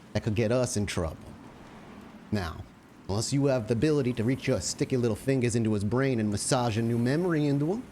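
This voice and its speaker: A man's voice: mid-range voice, dark tone, a little hiss or hum, close to an everyday voice. A man playing a pragmatic, sardonic vampire who is blunt and needling in a tabletop roleplaying game. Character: pragmatic, sardonic male vampire, wary of witnesses.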